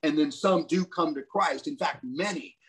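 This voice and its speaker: An older man talks from a room at home over a webcam. His voice sits fairly high, and he muses aloud, circling back and restarting as he thinks. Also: normal speed. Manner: thoughtful, musing, many restarts. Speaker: co-host discussing Bible prophecy. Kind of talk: casual video call discussion. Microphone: video call webcam.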